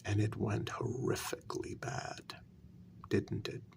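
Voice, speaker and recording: Guy doing a hurt, quietly threatening mortal in a vampire asmr roleplay, lowish breathy gravelly murmur, lots of slow pauses. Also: completely flat delivery, background noise, heavily acted. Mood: hurt, accusing. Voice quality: breathy, gravelly half-whisper. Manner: slow, deliberate, pausing between phrases. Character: human man confronting his vampire queen, wounded and quietly menacing. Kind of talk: ASMR role-play, soft-spoken.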